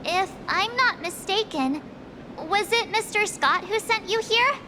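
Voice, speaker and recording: A woman's voice: very high-pitched, lively intonation, a little slow, noisy background. A woman playing a perky, girlish young adventurer in a video game, chatting informally. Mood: casual, puzzled.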